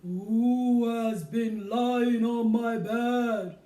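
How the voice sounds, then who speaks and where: A woman voicing a big father bear in a storybook, booming and slow. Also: steady tone, dark tone. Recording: roomy, from a distance, faint noise.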